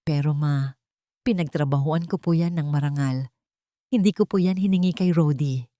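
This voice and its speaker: A woman's voice: low-pitched, moderate pace, dark tone, no room sound, clean studio sound, lightly acted. A woman voicing a meek, polite daughter-in-law in a drama story, pleading while holding in her anger. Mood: restrained protest.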